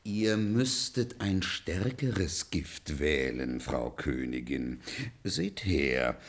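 Deep, husky, scheming royal huntsman. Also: extremely slow, heavily acted. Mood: sly, servile. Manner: servile, insinuating. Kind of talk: radio play acting.

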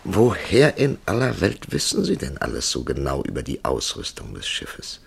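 An actor playing an urbane, sceptical male writer who narrates and questions in a measured, wry way in a radio play. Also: rumbling low, wildly swooping pitch, gravelly, slightly rough, heavily acted. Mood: curious, sceptical.

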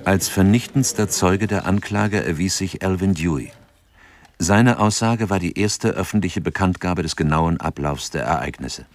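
An actor narrating a scripted radio drama in a sober, factual reporting manner. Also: male voice, deep voice, moderate pace.

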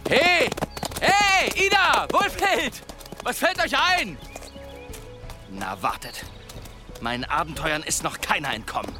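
A man's voice: high-pitched, big pitch swings, slow, long pauses, over music, exaggerated voice. A man playing a boastful, pious and grumbling one-armed demon hunter in an audio drama, with a theatrical, wry delivery. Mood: excited, calling out.

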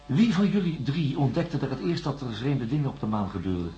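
An actor playing a calm, patient male psychiatrist in a science fiction radio drama, speaking formally and deliberately. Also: medium pitch, close to an everyday voice. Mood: calm, probing. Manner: measured, formal, deliberate phrasing with pauses. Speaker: doctor. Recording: dull lo-fi radio recording, music.

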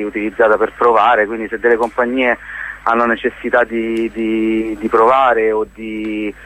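A man giving an informal radio interview as a theatre organiser, explaining events in a halting, hesitant way. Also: on the low side, fairly bright tone. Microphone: phone call. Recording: tinny, narrow phone line on radio.

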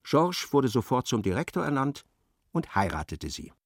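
A man narrating a literary radio drama in a measured, articulate, storytelling way. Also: mid-range voice, very animated, dry recording, clean studio sound.

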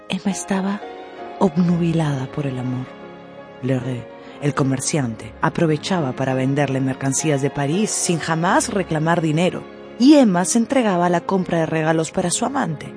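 A narrator telling a literary story in a measured, dramatic radio style. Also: medium pitch. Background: music.

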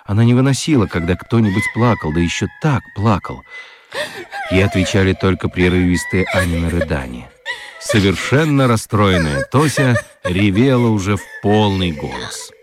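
A man narrating a children's radio play as an expressive storyteller. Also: deep voice, moderate pace.

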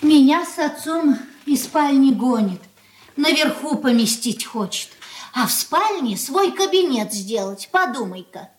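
Radio drama: anxious old merchant mother, highish voice, folksy, plaintive, confiding. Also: expressive, a little slow. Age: older. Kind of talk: radio play acting.

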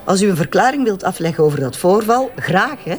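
An actress plays a Flemish investigative journalist, a shrewd and self-assured woman in an audio crime drama. Her voice has a middling pitch. She speaks in a knowing, pointed way with a teasing edge. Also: lively intonation, a little fast, natural voice. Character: shrewd, confident female investigative tabloid journalist. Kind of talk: naturalistic audio drama dialogue.

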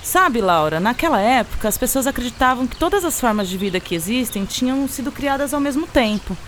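A woman's voice: on the high side, expressive, brisk, a bit bright, slight background noise. An actress with a Brazilian accent playing a friendly, knowledgeable woman who patiently explains things in conversational radio drama dialogue, with some teasing. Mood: patient explaining.

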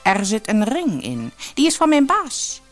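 A woman's voice: a little high, huge pitch swings, unhurried, faint music behind, pitched above the performer's own voice. A woman voicing a clever, bold female cat who bargains and commands in a storybook tale. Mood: cool bargaining. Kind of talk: storybook character voice.

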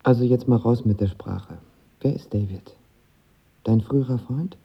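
A man's voice: mid-range voice, moderate pace, vocal fry, breathy edge, lo-fi sound, soft background music. An actor playing a lovestruck, insecure young actor who tells of his first love in a radio drama, intimate and colloquial. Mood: yearning. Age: young adult. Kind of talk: radio drama acting and first-person narration.

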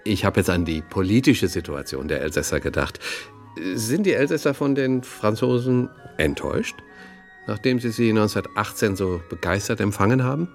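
An actor playing a polite, eager radio interviewer from Westphalia in a radio play, courteous and conversational. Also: male voice, fairly low pitch, lively intonation, moderate pace, soft background music. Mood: courteous, probing.

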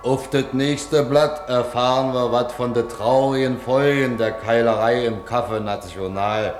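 Man acting a slow folksy storyteller, echoey. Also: medium pitch, fairly even intonation, lightly acted. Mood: declaiming. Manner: folksy, ungrammatical, showman-like announcing. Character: folksy storyteller announcing each chapter of the tale. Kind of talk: deliberate narration in 1950s radio play. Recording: roomy echo on old radio recording.

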